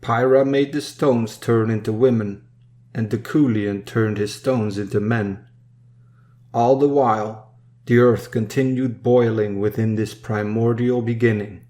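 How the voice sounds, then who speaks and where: A man reading a dark narrative poem in a solemn, measured storytelling manner. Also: lowish voice, steady tone. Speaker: poem reader. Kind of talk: solemn scripted poetry recitation.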